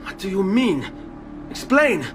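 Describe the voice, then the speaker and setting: A man's voice: high voice, sing-song, measured pace, over music. An actor playing a cocky, protective young Italian nobleman in a video game, with an Italian accent. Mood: urgent. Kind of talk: video game cutscene acting.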